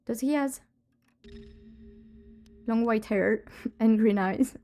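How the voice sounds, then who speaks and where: Woman with a cold, gravelly and mellow. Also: a little high, takes it slowly, faint music behind. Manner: chatty, rambling, laughs often. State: has a cold, voice lowered. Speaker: streamer playing a detective game. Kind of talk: casual live-stream chat.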